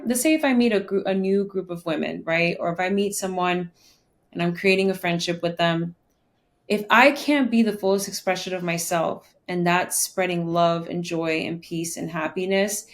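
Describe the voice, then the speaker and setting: Woman podcast host, normal mid pitch, casual motivational chat, earnest and emphatic, repeats stuff to make the point.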